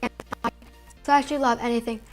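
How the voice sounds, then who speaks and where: A young woman with a high voice gushes happily about her skincare favorites, keeping it casual and upbeat. She sits beside her mother in a room at home, recorded through the camera mic with faint music underneath. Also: fairly even intonation, fast. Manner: enthusiastic, gushing about products. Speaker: beauty vlogger, daughter. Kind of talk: casual product review. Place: indoor home room with string lights.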